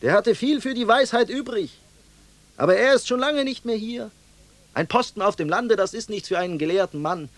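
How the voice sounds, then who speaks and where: Radio play sentry, strikingly high voice, careful and pedantic, dodging responsibility, very dry acoustic. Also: male voice, moderate pace, no echo. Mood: guarded, matter-of-fact. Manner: pedantic, evasive, repetitive. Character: cautious, obedient male soldier on guard duty.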